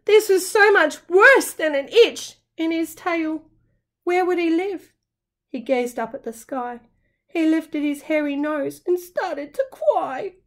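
Very high-pitched woman reading a children's story. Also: slow. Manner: expressive, dramatic, sing-song. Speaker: early-childhood teacher reading aloud. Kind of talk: children's storybook narration in rhyme.

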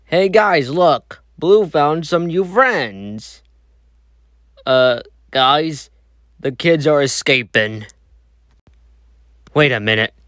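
A man's voice: on the high side, expressive, extremely slow, long pauses, fairly bright tone, dry recording, studio quality. A man acting out voice lines as a menacing, gleeful cartoon police dog, theatrical and taunting. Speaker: amateur voice performer. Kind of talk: acted cartoon villain voice lines.

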